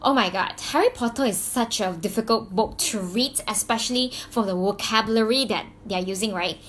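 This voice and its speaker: A woman from Malaysia speaks with a Malaysian accent in a fairly high voice, her first language being Mandarin. She tells a personal story in a loose, chatty way, full of "um"s and restarts, with a faint hiss of noise behind her. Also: fairly quick, a bit bright. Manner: chatty, many fillers and restarts. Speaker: vlogger and coach.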